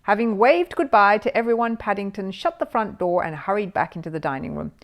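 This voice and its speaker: A woman's voice: medium pitch, fairly quick. A woman narrating a children's story expressively from a written text.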